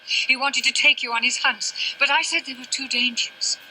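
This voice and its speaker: An ailing older mother in a video game, sharp-tongued yet weary. The voice is fairly high and very bright and tense, with haughty, theatrical, genteel phrasing. There is faint noise and ambience behind it. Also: steady tone, normal speed, low-quality recording. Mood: regretful. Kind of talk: dramatic scripted game dialogue.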